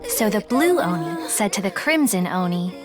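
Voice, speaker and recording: A woman's voice: mid-range voice, sing-song, moderate pace, natural voice. A woman voicing a confident, shrewd detective who bargains and tells an old tale in a video game. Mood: storytelling. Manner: confident, shrewd dealmaker; storyteller. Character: shrewd, businesslike female detective. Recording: game audio with loud music behind.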